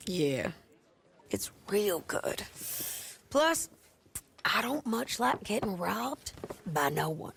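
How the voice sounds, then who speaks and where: A bold woman bounty hunter of the Old West in a video game. She has an American drawl with plenty of creak and a medium pitch. Her delivery is wry, sly and conversational. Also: big pitch swings, takes it slowly, pauses often, fairly bright tone, heavily acted. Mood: scheming, animated. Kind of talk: video game cutscene acting, western.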